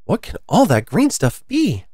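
A woman voicing a polite, bewildered little girl in a storybook, earnest and quick to protest. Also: sits high, very animated, a little mellow, no echo, studio quality, voice pushed up. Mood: wondering. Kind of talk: storybook character voice.

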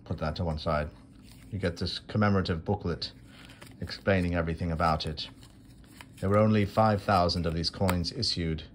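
A man hosting his own coin video, narrating an unboxing from off camera in a dry, self-deprecating way with unhurried pauses. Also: sits low, steady tone, a little hiss or hum. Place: indoors at a marble tabletop. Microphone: phone camera held over a table.

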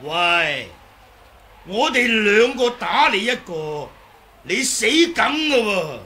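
An actor playing a boastful, wily, comical old martial artist in a Hong Kong Cantonese radio drama, chattering and teasing in drawn-out phrases. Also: male voice, high-pitched, sing-song, bright, forceful tone, heavily acted. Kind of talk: 1980s radio drama acting.

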